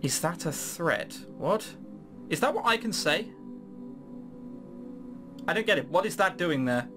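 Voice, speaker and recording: Let's play host, lively swooping intonation, sardonic, sweary, casual running commentary, faint music behind. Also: medium pitch, measured pace. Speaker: gaming video host. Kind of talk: casual let's play commentary, reading game dialogue aloud.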